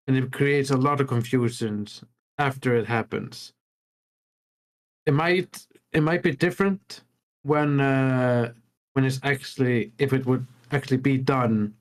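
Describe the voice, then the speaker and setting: A man with an average-pitched voice gives an informal critique on an online call. His delivery is halting, and he often circles back over his own phrases. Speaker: workshop participant giving feedback.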